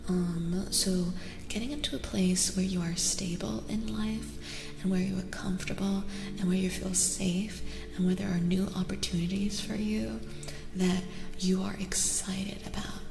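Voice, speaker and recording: Soft, whispery woman in long reverb. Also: medium pitch, steady tone, over music. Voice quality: soft, breathy, often whispery. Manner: gentle, soothing, repeats phrases slowly. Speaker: tarot-reading streamer host. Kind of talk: soft-spoken ASMR tarot reading.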